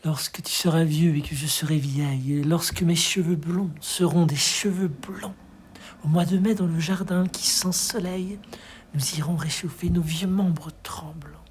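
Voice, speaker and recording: A hushed, breathy voice giving an expressive, tender recitation of poetry in a measured way. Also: medium pitch, slight background noise. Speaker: poetry reader.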